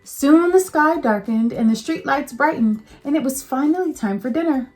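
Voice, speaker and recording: A woman teacher reading a picture book aloud to children, slow and expressive. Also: high voice, faint music behind, poor audio.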